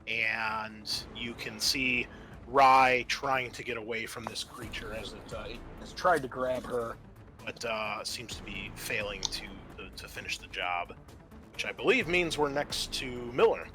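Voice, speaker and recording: A man's voice: medium pitch, a little slow, bright, forceful tone. A man acting as game master, narrating scenes and explaining rules in a conversational way over background music. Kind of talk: narrating and ruling a tabletop roleplaying game.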